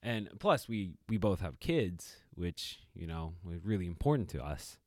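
Lively-voiced man musing on a podcast. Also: low-pitched, a little slow, dry recording, clean studio sound. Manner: reflective, animated storytelling with many fillers. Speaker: podcast co-host. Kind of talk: casual podcast conversation.

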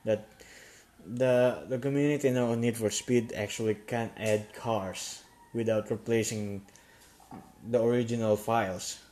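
A young man talks through a personal story on his podcast, his tone dark and mellow at a middling pitch. He stops and restarts often and clears his throat now and then. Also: fairly even intonation. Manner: halting, many restarts and fillers, frequent throat clearing. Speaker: podcast host.

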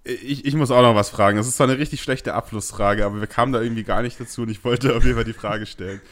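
Fast-talking slangy male co-host. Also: medium pitch, steady tone, fairly bright tone. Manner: quick, slangy, restarts often. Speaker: podcast co-host. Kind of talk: casual podcast chat.

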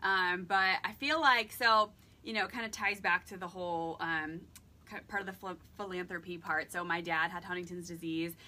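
A woman's voice: fairly low pitch, fairly even intonation, normal speed, pushed and bright. A woman in her thirties, a guest in a casual interview, telling her story earnestly. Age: 30s.

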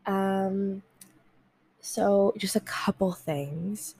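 A woman's voice: mid-range voice, very slow, mellow, slight background noise. A woman hosting a podcast, talking casually and rambling with many ums, her voice nasal from congestion. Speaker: podcast host. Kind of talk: casual solo podcast talk. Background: fan whir.

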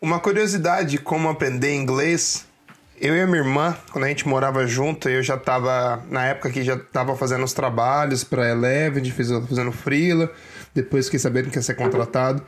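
A man's voice: medium pitch, fairly quick. Slangy Brazilian man giving advice. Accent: Brazilian Portuguese. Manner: slangy and animated, speaking straight to viewers. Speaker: vlogger giving career advice. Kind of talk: casual advice talk.

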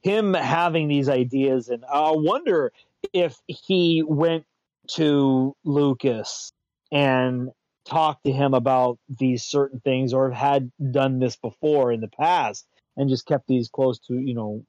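A man's voice: medium pitch, slow pace, a little mellow, dry recording. A man hosting a casual pop-culture chat, rambling, restarting and repeating his words and chuckling as he goes. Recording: dull lo-fi dry sound.

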